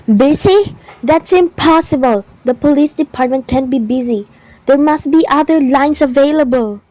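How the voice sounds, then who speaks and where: High-pitched, panicked wife played by a woman. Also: normal speed, a little mellow, dry recording, close to an everyday voice, low-quality recording. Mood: frantic, demanding. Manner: frantic, pleading, self-pitying, repeats herself. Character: panicked, querulous invalid wife alone at night. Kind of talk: radio thriller acting.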